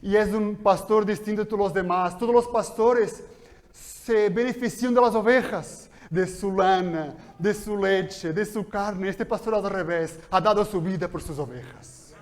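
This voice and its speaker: A man preaching a sermon in a church, his coming through his Spanish, swinging between quiet explanation and loud, fervent passages. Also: high voice, measured pace. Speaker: pastor. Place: church hall.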